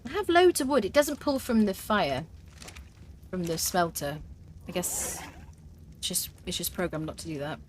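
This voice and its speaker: A woman's voice: on the low side, animated, normal speed, slight background noise. Chatty woman streamer thinking aloud. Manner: chatty, thinks aloud with fillers, reads and answers chat. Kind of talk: live gameplay commentary.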